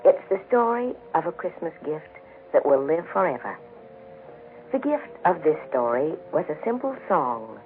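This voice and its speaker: A man telling a story in solemn 1940s radio narration, with reverent, measured, formal phrasing. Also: high voice, a little hiss or hum. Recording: tinny 1940s radio broadcast with music behind. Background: music.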